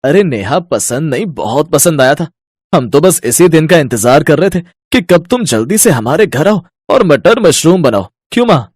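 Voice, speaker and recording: A man's voice: a little high, sing-song, normal speed, a little mellow, husky, no echo, studio quality. An actor playing a gluttonous young man of the family in a cartoon story, effusive and playful. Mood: enthusiastic. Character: gluttonous, food-obsessed young husband or brother-in-law.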